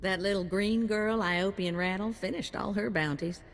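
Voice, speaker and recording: A woman's voice: mid-range voice, fairly even intonation, moderate pace, long reverb tail, close to an everyday voice. A woman playing a spirited bounty handler in a video game, with a folksy, colloquial way of talking. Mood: brisk, pleased.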